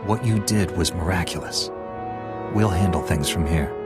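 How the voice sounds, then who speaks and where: A man's voice: low voice, normal speed, close to an everyday voice. A man playing a grave, earnest character in a sci-fi game, speaking in a serious, firm way. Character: grave, earnest male hero in a space saga. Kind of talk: game cutscene acting. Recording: music behind the voice.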